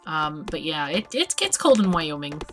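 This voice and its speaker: A woman hosting a multiplayer game stream, chatting in a relaxed way and often restarting her words. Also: low-pitched, moderate pace, music in the background. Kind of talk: casual chat while playing.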